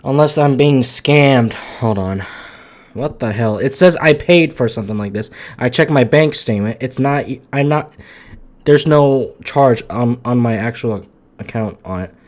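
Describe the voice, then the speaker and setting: A man live streaming, chatting casually off the cuff in a halting, muttering, sweary way. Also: mid-range voice, a little mellow.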